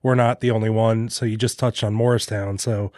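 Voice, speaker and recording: A man's voice: lowish voice, little pitch movement, a little fast, a little mellow, no echo. Teasing man in a dry close recording. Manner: prompting, teasing. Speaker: club member. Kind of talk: casual group chat.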